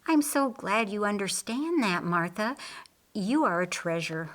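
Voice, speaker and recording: A woman voicing a kindly, reflective master of a house in an audiobook story, earnest and deliberate. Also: medium pitch, expressive, lightly acted. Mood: warm.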